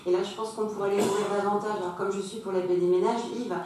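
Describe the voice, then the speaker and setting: A woman's voice: mid-range voice, steady tone, fast, darkish tone. A woman speaking off the cuff as a council member, explaining in a conciliatory way. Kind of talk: spontaneous speech in a formal meeting. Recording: very echoey hall, distant mic, faint noise.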